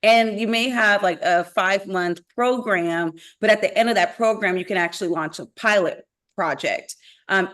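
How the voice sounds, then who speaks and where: A woman founder speaks on a video-call panel. Her voice sits at a middle pitch. She lays out practical advice and lists concrete examples as she goes. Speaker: climate tech founder on a panel. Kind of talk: webinar panel answer.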